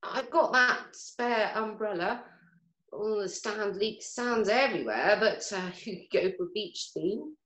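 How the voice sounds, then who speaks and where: A woman's voice: medium pitch, expressive, unhurried, a bit bright. An actress playing a chatty, fussing, irritable middle-aged mother in a radio play, gossipy and exasperated. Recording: roomy, echoing sound.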